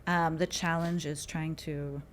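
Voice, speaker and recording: A woman from Canada, a naturopathic doctor, explaining her work steadily as an interview guest, with "um" pauses. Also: deep voice, level tone. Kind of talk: casual interview chat.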